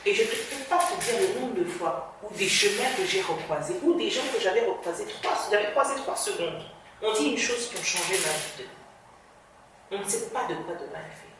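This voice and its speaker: Female journalist guest, earnest and reflective, phrases fade softly at the end, very echoey room, mic set back from her. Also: mid-range voice, expressive, brisk, background noise. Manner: earnest, reflective, drops to a soft level at phrase ends. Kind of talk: motivational interview talk. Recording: reverberant room, distant mic.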